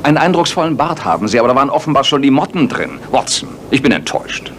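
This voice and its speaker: An actor playing a precise, commanding, sardonic gentleman detective in a German radio play. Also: mid-range voice, big pitch swings, fast talker, slight background noise. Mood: sardonic, indignant.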